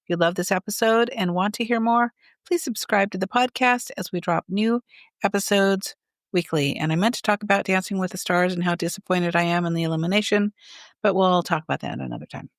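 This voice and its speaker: A woman in her sixties co-hosting a podcast, chatting casually and bluntly with quick asides. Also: on the low side, no echo, clean studio sound. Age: 60s. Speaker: podcast co-host. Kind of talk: casual chat.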